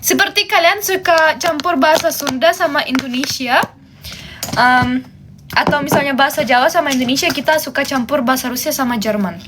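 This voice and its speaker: High-pitched woman, casual chat. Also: a little fast, background noise. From Germany. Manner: chatty, switches between languages. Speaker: livestream host. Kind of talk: casual chat with viewers while doing makeup.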